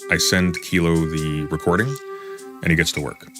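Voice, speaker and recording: Deep-voiced man, defensive and emphatic. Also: fairly even intonation, normal speed. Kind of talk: casual live commentary. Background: music underneath.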